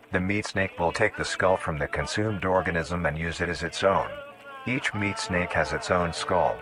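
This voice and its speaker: A man playing a nature documentary narrator, reading scripted facts in a formal, measured, clinical way. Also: low-pitched. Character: male narrator of a fictional creature documentary.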